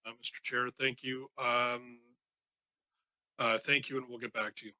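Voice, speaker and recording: Bright, halting man testifying. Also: mid-range voice, flat delivery, dry recording. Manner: halting, many false starts. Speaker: union president, committee witness. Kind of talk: formal testimony answering questions.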